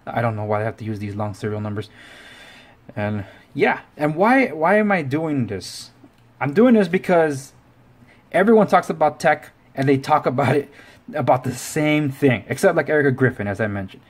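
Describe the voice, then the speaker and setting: A man's voice: mid-range voice, expressive, normal speed. A man hosting a casual tech review, talking informally and rambling with self-correcting asides. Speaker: tech review show host. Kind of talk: casual video review talk to camera.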